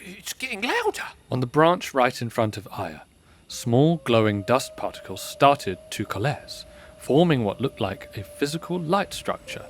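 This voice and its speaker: A man's voice: medium pitch, expressive, measured pace, a little hiss or hum. Male storyteller over faint ambience. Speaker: narrator. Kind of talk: scripted story narration.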